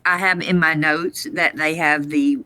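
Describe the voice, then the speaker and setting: A woman's voice: low-pitched, steady tone, a little slow, sharp tone, no room sound. A woman co-hosting a podcast, chatting casually with dry amusement as she recaps a TV episode. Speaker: podcast co-host.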